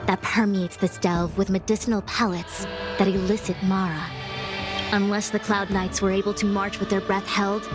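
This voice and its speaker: An actress playing a composed, authoritative female diviner commander in a game, speaking in a formal, measured, solemn way. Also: mid-range voice, natural voice. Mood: grave, explanatory. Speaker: game character voice actor. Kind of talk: scripted game story dialogue. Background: music.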